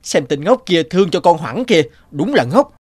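A man's voice: a little high, sing-song, moderate pace, husky, dry recording. A man voicing an arrogant, bullying young prince with a mocking manner in a cartoon. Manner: mocking, scornful.

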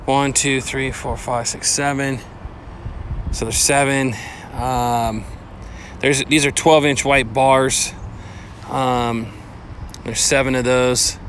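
A man talks in a flat, even line with a bright, forceful edge, recorded on a phone held in his hand outdoors. Faint outdoor noise sits behind him as he walks and counts things off in a plain, matter-of-fact way. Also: medium pitch, takes it slowly, pauses often. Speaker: paving estimator. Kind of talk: casual walkthrough narration. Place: outdoor parking lot. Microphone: phone held in hand while walking.

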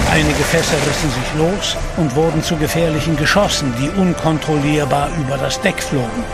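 A man's voice: on the high side, creaky. A man narrating an adventure audio drama in a scripted, dramatic storytelling style. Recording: music behind.

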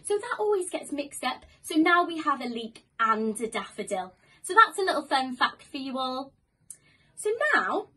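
A Welsh woman in her twenties, a primary school teacher giving a lively, clear lesson for young children, filmed on a phone in a small office room. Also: high-pitched, rough recording. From Wales. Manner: animated, slow and clear for children. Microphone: phone camera a few metres away.

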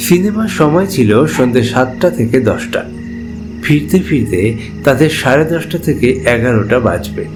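A man's voice: mid-range voice, expressive, moderate pace. A man narrating a scripted ghost story as a storyteller. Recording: clear music bed under voice.

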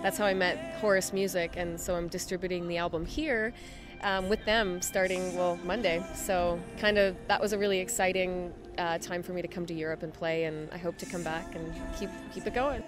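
A woman's voice: fairly low pitch, steady tone, brisk, music in the background. A young woman with a Canadian accent, a musician chatting upbeat and casually in an outdoor interview. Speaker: singer-pianist being interviewed. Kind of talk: casual chat. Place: outdoors on a city square. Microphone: handheld microphone.

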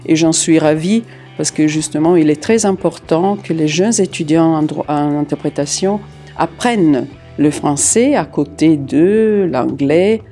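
A middle-aged woman with an Italian accent, an interpreter answering interview questions with many hesitant filler pauses, seated in an office. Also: low-pitched, warm tone, faint music behind. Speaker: court interpreter. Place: office room with large window.

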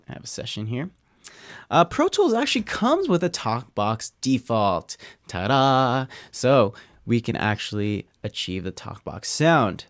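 A man talking through a software tutorial as an audio engineer, in an easygoing, conversational way with informal asides. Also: mid-range voice, dry recording, studio quality. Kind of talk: casual software tutorial talk.

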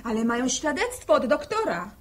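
A plain-spoken farm daughter in a vintage radio serial. She speaks high and with big swings of pitch, fiery and earnest, telling things dramatically. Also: sing-song, a little fast. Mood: agitated. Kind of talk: 1960 radio drama acting.

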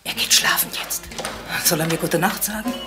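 An actress playing an outwardly polite, secretive shopkeeper's wife in a crime drama, speaking in a measured, restrained way. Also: female voice, lowish voice, music in the background, lightly acted. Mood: tense, hushed. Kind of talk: German television crime drama acting.

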